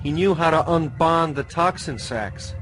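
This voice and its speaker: An actor playing a cynical, desperate young hacker in a radio drama, informal, streetwise and emotionally raw. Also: male voice, fairly high pitch, measured pace, a bit bright, faint music behind, rough recording. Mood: bitter.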